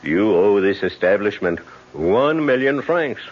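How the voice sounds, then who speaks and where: A suave, wary casino manager from an old radio drama. The voice is low and husky with a lively, fatherly delivery, heard on a muffled recording. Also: a little slow. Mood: grave, cautioning. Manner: smooth-mannered, hesitant, fatherly.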